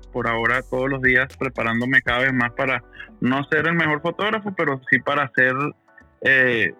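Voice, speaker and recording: A man's voice: mid-range voice, bright, forceful tone. A man chatting as a guest, earnest and repeating words as he searches for them. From Venezuela. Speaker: guest photographer. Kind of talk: casual conversation.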